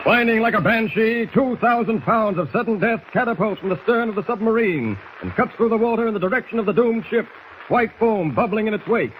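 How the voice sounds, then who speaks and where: A man's voice: high voice, expressive, fairly quick, lo-fi sound. An American man narrating a 1940s radio serial with urgent, grandiloquent delivery. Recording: faintly noisy 1940s radio recording.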